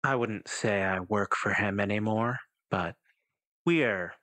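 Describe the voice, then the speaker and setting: A man plays an enigmatic man cloaked in smoke in a roleplay podcast. His voice is fairly low and very forward and crisp in tone. He goads calmly and cryptically, taking his time over each phrase. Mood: cryptic, coaxing. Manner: slow, measured, cryptic. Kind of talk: in-character tabletop roleplay.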